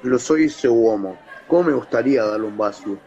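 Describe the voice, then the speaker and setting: A man's voice: mid-range voice, normal speed, warm tone, close to an everyday voice. Man acting coarse Italian bar flirt, muffled recording. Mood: flirtatious. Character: coarse foreign male bar patron, flirtatious suitor. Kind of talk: amateur radio play acting.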